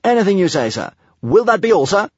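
A man's voice: high voice, sing-song, brisk, husky, no room sound, dull audio, pitched above the performer's own voice, full character voice. A man voicing an obedient storybook dragon who answers with prompt, deferential military manners, eager to please. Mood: eager obedience.

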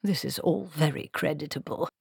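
A woman's voice: sits low, moderate pace, gravelly, darkish tone, breathy edge, no room sound, exaggerated voice. A grumbling male wizard, played by a woman reading aloud in a storybook. He is reluctant and miserable, protesting with fussy, formal politeness. The recording is dry and close.

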